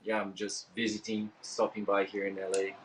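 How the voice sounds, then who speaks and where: A man's voice: fairly low pitch, completely flat delivery, normal speed, a little hiss or hum, poor audio. A man from Brazil dropping in on an interview, laid-back and amused. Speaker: drop-in guest.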